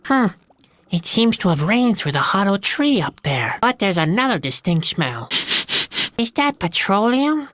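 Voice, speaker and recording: A man's voice: high-pitched, big pitch swings, a little slow, a bit bright, no echo, heavily acted. A man voicing a timid, gloomy young boy clown in an adventure game, musing in a hesitant, mournful way. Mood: puzzled. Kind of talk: scripted adventure game dialogue. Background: music.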